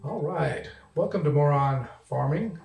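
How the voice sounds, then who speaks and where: Podcast host guy, gravelly voice, faint guitar music behind him. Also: on the high side, measured pace. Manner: scripted intro read, then relaxed questions with fillers. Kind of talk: casual podcast hosting.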